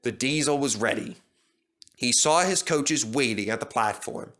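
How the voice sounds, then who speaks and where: A man reading a children's story aloud as a storyteller, in an animated narrating style. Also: medium pitch, unhurried.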